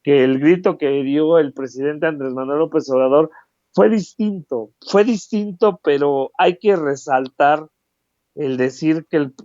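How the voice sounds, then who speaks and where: A man with a Mexican Spanish accent gives earnest political commentary, stacking repeated phrases for emphasis. His voice sits a little high. The recording is dry and dull, with a lo-fi edge. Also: a little mellow, no room sound.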